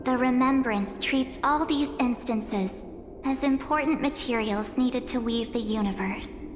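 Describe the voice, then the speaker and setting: A woman's voice: on the high side, unhurried, noisy background, natural voice. A woman voicing an otherworldly being posing as a teenage girl in a video game, with a coaxing, composed and teasing manner. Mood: calm, persuasive. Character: memory entity posing as a cheerful teenage girl, coolly persuasive. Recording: echoey long reverb under clear music.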